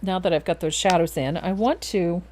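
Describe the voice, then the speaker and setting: A woman in her fifties hosting a live painting lesson, calmly explaining each step and laughing often. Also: lowish voice, normal speed, a little hiss or hum. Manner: calm step-by-step instruction with frequent laughs. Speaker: painting instructor and host.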